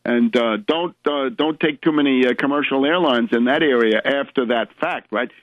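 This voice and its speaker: A man's voice: mid-range voice, normal speed, dry recording. A man hosting a political radio show, delivering an opinionated monologue in a sardonic, emphatic way with rhetorical questions. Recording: dull lo-fi radio audio.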